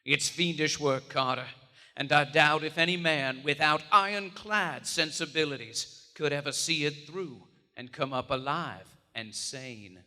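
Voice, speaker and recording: An actor playing a domineering, driven occult investigator, grave and condescending, in a theatrical horror radio play performed live. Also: male voice, a little high, a little slow, bright, forceful tone. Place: on stage in a large theater. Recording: live theater hall reverb.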